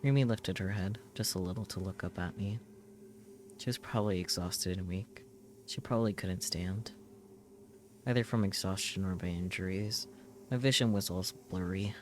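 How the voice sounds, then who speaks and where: A man reading visual novel text aloud as a streamer, in dramatic, intense narration with measured short phrases. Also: sits low, a little hiss or hum. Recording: music behind the voice. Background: loud game music.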